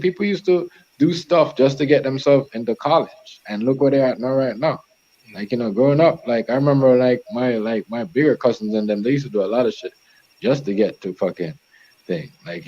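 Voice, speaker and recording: A man's voice: mid-range voice, mellow. A man born in Jamaica and raised in Toronto talks loosely as a chef in a casual chat. He rambles, restarts his sentences and swears freely. The sound is a little dull and lo-fi. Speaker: chef, co-host.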